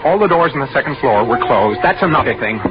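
A man's voice: mid-range voice, lively intonation, natural voice. A man acting a nervous, secretive husband plotting murder in a tense, confiding 1940s radio drama narration. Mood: cold, methodical. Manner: tense, brooding, confiding, halting when questioned. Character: nervous, secretive husband calmly plotting to poison his wife. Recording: dull vintage 1940s radio recording. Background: dramatic music.

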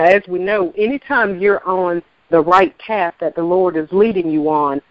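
A woman hosting a gospel radio interview, effusive and full of affirmation, answering her guests with quick amens. The recording is dry and a little dull, with no room sound. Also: medium pitch, no room sound. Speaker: host.

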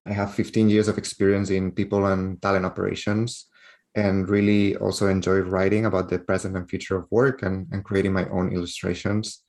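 Spanish-accented man with a gravelly low voice. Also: little pitch movement, brisk, a little mellow. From Spain. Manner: hesitant, many fillers and restarts. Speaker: guest. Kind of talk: podcast interview.

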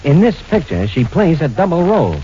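A man's voice: fairly high pitch, very animated, fairly quick, mellow, a little hiss or hum, pitched above the performer's own voice. A man playing a nosy, blunt street-corner busybody with slangy speech in a 1940s radio comedy, on an old muffled recording. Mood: deadpan, meddling. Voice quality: slangy, ungrammatical wise-guy speech. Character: nosy, blunt New York street-corner busybody giving unwanted advice.

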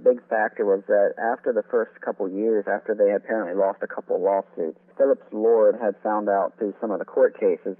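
A man being interviewed as an author and historian, giving detailed, wordy explanations that tend to ramble. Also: on the low side. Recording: tinny phone-like line.

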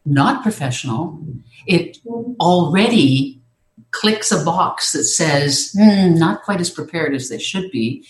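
A speaking coach lays out advice in a measured, explanatory way, pausing now and then to find a word. The voice sits at a middling pitch. The recording carries a noticeable roomy echo. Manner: measured, explanatory, occasional hesitations. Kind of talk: instructive talk on presenting.

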